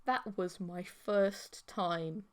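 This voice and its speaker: A woman narrating a comic first-person story as an audiobook, in a wry, expressive manner. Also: fairly low pitch, drawn-out words.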